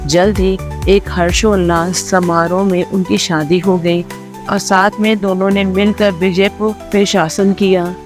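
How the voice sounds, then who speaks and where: A storyteller narrates a scripted children's fairy tale. The voice sits at a middling pitch. Music plays clearly underneath throughout. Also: flat delivery, a little slow. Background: background music.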